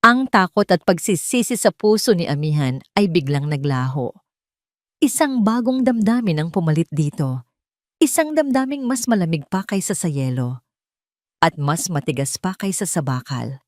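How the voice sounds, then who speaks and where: A woman narrating a scripted romance drama in a solemn, deliberate way, with dramatic pauses. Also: on the low side, sing-song, no echo, clean studio sound. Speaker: story narrator.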